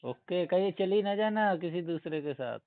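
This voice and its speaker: A man's voice: sits high, lively intonation, moderate pace, dry recording. Cheeky young man on phone. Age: young adult. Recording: muffled narrowband phone call recording.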